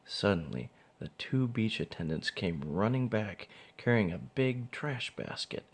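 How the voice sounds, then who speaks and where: Man, children's story narration, deep voice, animated intonation, vocal fry. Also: measured pace. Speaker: father reading a picture book.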